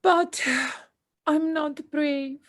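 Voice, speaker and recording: Woman playing a meek, high-voiced little mole. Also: fairly even intonation, extremely slow, no echo, clean studio sound. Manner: hesitant, meek. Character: timid, gloomy, self-doubting little mole. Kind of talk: amateur audio play acting.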